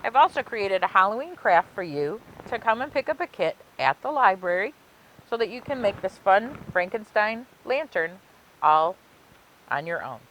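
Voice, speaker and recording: A woman reading a children's picture book aloud as a library story time host, with expressive, deliberate storytelling. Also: medium pitch, a little hiss or hum, rough recording.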